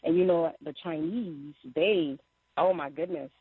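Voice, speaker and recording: A woman's voice: deep voice, slightly rough, no echo. A young adult woman from Liberia, calling in to a talk show and speaking with passion, with many fillers and restarts. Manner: impassioned, halting with many fillers. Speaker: caller. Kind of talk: phone-in call to a talk show. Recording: tinny dry phone line.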